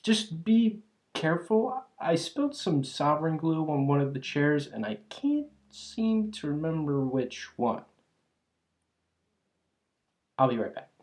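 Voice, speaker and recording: A voice actor playing a clumsy, cheerfully eccentric alchemist shopkeeper in an ASMR roleplay, chatty and absent-minded, halting and trailing off. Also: medium pitch, sing-song, darkish tone. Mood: cheerful welcoming. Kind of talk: ASMR roleplay, scripted character acting.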